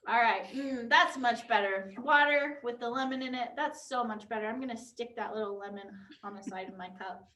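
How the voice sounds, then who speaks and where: A woman's voice: a little high, fairly quick, few pauses, pushed and bright. A young woman teaching an online drama class for kids, encouraging and upbeat as she guides a pretend game over a video call. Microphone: computer on a video call.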